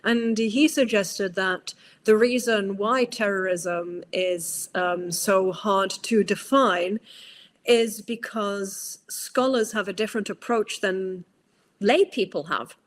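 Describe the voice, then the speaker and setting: A woman's voice: mid-range voice, steady tone, slow pace. A Norwegian woman speaking English with a British-tinged accent, a psychologist giving long, thoughtful interview answers with many fillers and restarts. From Norway. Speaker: guest psychologist.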